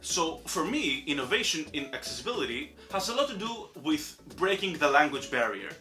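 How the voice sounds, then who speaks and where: A young man from Greece with a Greek accent, a native Greek speaker, chatting casually and self-deprecatingly about game award nominees as a channel host. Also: normal speed. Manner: chatty and self-deprecating, animated swings in pitch. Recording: roomy echo with faint music behind.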